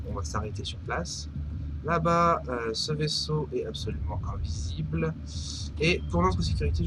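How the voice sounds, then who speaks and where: A man with a cold giving chatty gameplay commentary, hesitating often and breaking into excited bursts. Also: medium pitch, background noise. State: has a cold. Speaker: gaming commentator.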